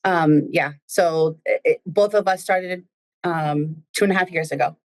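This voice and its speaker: A woman who grew up speaking Spanish, a nun and daycare director telling her story with lively, acted-out moments. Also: sits low, measured pace. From United States. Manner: lively storyteller, acts out what she said. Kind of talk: casual interview chat.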